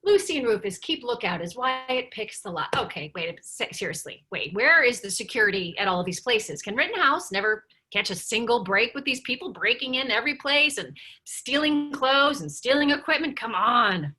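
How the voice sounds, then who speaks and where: A woman's voice: on the high side, animated, normal speed, few pauses, pushed and bright, breathy edge. A woman narrating stage directions at a script table read.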